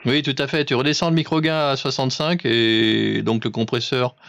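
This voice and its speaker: A man's voice: medium pitch, steady tone, moderate pace, creaky, fairly bright tone, a little hiss or hum. A man coaching another amateur radio operator through settings in a casual, instructive way. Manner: informal, instructive. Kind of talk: casual technical chat over amateur radio.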